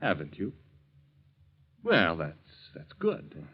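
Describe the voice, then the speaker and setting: Low-voiced, insinuating young bank teller. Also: male voice, huge pitch swings, slow pace, heavily acted. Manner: casually probing, falsely helpful. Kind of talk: 1940s radio drama acting. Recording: muffled vintage 1940s radio recording.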